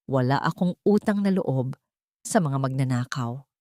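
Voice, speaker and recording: A woman voicing a wronged young woman in an audio drama, measured, emotive and resolute. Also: a bass voice, expressive, warm tone, dry recording, studio quality, voice pushed down. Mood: cold defiance. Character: wronged young woman, calm, steely, wounded but resolute.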